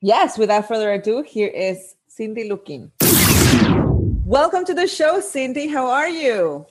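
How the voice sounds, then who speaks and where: A woman's voice: fairly high pitch, unhurried, very noisy. A woman in her thirties co-hosting a podcast, chatting casually and telling stories with lots of "like", slipping into Spanish now and then. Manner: animated storytelling with many "like"s, mixing in Spanish. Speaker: podcast co-host. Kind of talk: casual chat.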